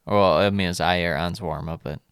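A man with a low, smooth, resonant voice, recorded close and dry. He co-hosts a football podcast in a relaxed, chatty way, running through lineups and joking about player names. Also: normal speed, no room sound. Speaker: podcast co-host. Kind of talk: casual football podcast chat.

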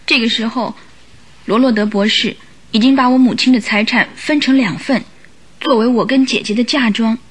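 An actress playing a frightened, sorrowful young gentlewoman seeking help in a Chinese radio drama, speaking politely and hesitantly. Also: on the high side, moderate pace, husky. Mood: sorrowful recounting. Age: young adult.

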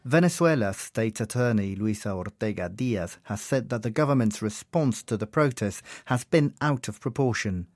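A man, a foreign correspondent, narrating a scripted radio news report in a calm, measured way. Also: lowish voice, no room sound, clean studio sound.

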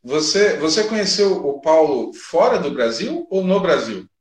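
A man's voice: a little high, sing-song, measured pace, reverberant. A man casually interviewing a guest in a conversational way.

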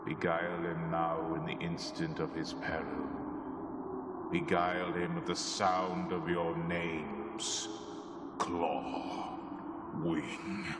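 Actor voicing a menacing ancient vampire count for a radio play: very deep, very slow chanting, echoey with noise behind. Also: male voice. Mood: commanding. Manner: slow chanting incantation, hushed whispers. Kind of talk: radio drama acting. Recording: echoey reverb with steady background noise.

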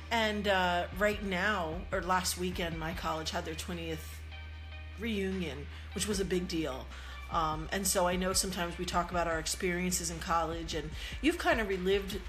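A woman in her forties with a slightly low voice talks on a podcast in an earnest, reflective way. She often repeats her own phrases, and faint music plays behind her. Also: fairly bright tone. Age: 40s. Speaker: podcast co-host. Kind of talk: casual podcast chat.

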